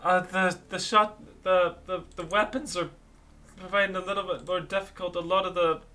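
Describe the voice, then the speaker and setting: Male player voicing a harried bureaucrat who rambles through endless rules; keep it bright and fussy, voice chat sound. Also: sits high, steady tone, moderate pace. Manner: rambling, tangled in rules and forms. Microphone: online voice chat mic.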